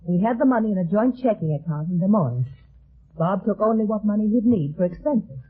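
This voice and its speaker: An actress playing a worried, earnest farm woman in a 1950s radio detective drama, speaking haltingly on a muffled old recording. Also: medium pitch, animated, husky. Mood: wistful, worried. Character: anxious farm woman seeking her missing brother.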